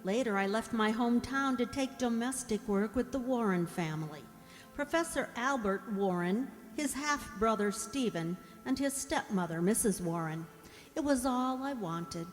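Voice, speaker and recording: A woman in a radio drama playing a gentle, anxious young mute servant who voices her thoughts with breathy, wistful drama. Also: fairly high pitch, lively intonation, moderate pace, faint music behind, close to an everyday voice. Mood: haunted, wistful. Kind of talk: radio drama narration and inner monologue. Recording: echoey hall with long reverb.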